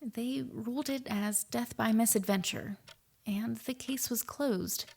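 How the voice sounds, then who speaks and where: A husky, creaky-voiced woman, played by an actress, slightly high in pitch. She is remorseful and confesses gravely in measured phrases, in an audio drama. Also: darkish tone, exaggerated voice. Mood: grave, regretful.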